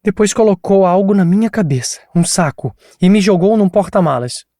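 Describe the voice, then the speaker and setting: A man's voice: sits high, lively intonation, normal speed, dark tone, dry recording, studio quality, pitched above the performer's own voice. A man doing an exhausted, frightened woman. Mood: shaken. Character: exhausted traumatized woman, witness recounting abduction.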